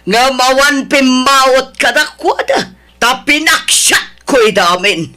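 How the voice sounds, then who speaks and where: A woman's voice: high-pitched, pushed and bright, full character voice. Woman playing a furious wronged wife. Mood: accusing. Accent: Ilocano. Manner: scolding, accusing, slowly emphatic. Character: angry wronged wife confronting her husband's mistress. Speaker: voice actor in an audio drama. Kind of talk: Ilocano audio drama acting.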